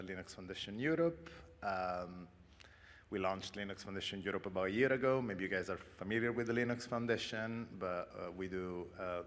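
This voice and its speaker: A man with a thick Italian accent, introducing himself on a conference panel in a relaxed, self-deprecating way with many fillers. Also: low-pitched. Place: large conference hall. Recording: long hall reverb, faint ambience.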